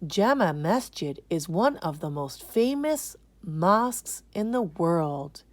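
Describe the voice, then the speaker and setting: A kindly storybook auntie played by a woman reader. She explains patiently and instructively to children. Her pace is slow and her pitch moves in lively swings. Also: dry recording. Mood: explaining. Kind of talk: children's picture book read-aloud.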